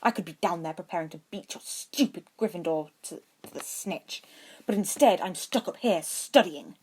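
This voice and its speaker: A woman's voice: lowish voice, normal speed, a little mellow, breathy edge. A woman voicing a snide, haughty schoolboy wizard who complains with snooty emphasis, in a storybook reading. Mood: frustrated.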